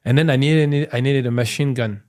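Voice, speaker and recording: A man's voice: medium pitch, a little fast. Chatty French-accented man. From France. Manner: animated storyteller, acts out quotes. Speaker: comedian guest. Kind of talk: casual podcast chat.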